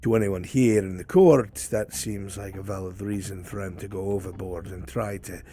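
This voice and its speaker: Low, bright-voiced furtive male informant played by a man. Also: fairly even intonation, normal speed, husky. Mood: grave, secretive. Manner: conspiratorial, uses old-fashioned rustic words. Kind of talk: improvised fantasy roleplay dialogue. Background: background music.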